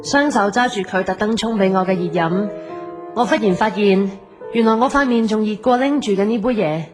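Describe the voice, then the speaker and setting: A young woman, played by an actress, tells her story in a Hong Kong Cantonese accent at an average pitch. She is lovelorn and introspective, hiding heartache as she narrates an audio drama over a clear music bed. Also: normal speed, natural voice. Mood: pained, reflective. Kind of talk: first-person dramatic narration.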